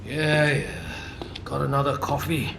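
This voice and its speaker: A man's voice: mid-range voice, unhurried, vocal fry, noisy background. An actor playing a jaded, sardonic middle-aged male senior detective in an audio drama, curt and dry. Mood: weary, businesslike.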